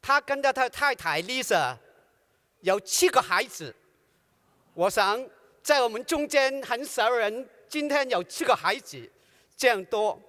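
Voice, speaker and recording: Very high-voiced man introducing a speaker. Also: lively intonation, a little slow, pushed and bright. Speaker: conference host. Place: large church hall. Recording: hall reverb.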